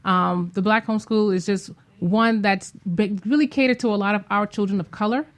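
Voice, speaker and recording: A woman guest talks in a casual radio discussion. Her voice is average in pitch, and her delivery is conversational. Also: a little fast. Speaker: guest, homeschool group organizer.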